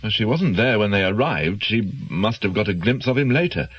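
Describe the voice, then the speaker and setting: An anxious, evasive British doctor in a radio drama. His voice is low and somewhat husky, with lively intonation. He speaks formally and guardedly, hesitating as he goes. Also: normal speed, poor audio. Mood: confessional, strained. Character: evasive, anxious male doctor with a gambling past.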